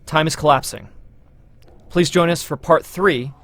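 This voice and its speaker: A man's voice: a little slow, fairly bright tone. A man presenting a game walkthrough as its producer, in scripted demo narration. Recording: noisy low-quality promotional video audio.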